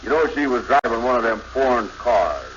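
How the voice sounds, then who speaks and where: A man's voice: mid-range voice, animated, moderate pace, fairly bright tone, close to an everyday voice. An actor playing a simple, amorous, boastful man in a 1950s radio comedy, with folksy, ungrammatical, hesitant bluster. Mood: proud, excited. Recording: noisy, dull vintage radio recording.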